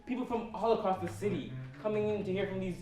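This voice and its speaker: An actor playing a laid-back, rebellious anti-war teenager with a casual, teasing manner on stage. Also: male voice, high voice, steady tone, fast, over music, lightly acted. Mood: earnest. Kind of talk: stage acting in a one-act play. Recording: echoey, noisy stage recording, distant mic.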